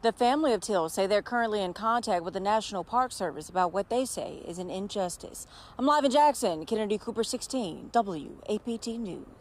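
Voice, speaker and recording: A woman's voice: medium pitch, animated, brisk. A woman working as a television news reporter, reading scripted narration for a field report.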